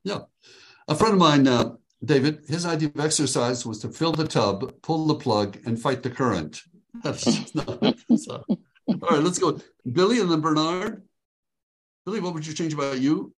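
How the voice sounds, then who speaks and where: A man leading a group workshop over a video call from his home, upbeat and joking, slowing down to dictate phrases for people to write down. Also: on the high side, low-quality recording. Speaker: workshop leader.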